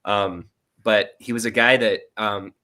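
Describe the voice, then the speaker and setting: A man's voice: lowish voice, steady tone, a little slow, pushed and bright. A man talking casually as an interview guest, rambling a bit as he thinks aloud. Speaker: guest writer and sound designer.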